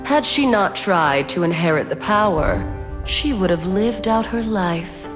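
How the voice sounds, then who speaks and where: A woman voicing a haughty, cold ancient female spirit who judges heirs in a game, measured and condescending, with a long reverb. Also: lowish voice, expressive. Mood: solemn, cold. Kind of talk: dramatic game voice acting. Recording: long cavernous reverb, music behind.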